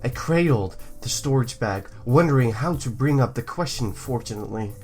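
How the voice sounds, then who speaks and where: A male game host from the United States with a very lively, varying pitch. He narrates and riffs in a goofy, joking manner over soft background music. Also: normal speed. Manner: jokey, goofy, animated. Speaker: gaming video host. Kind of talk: let's play commentary and narration.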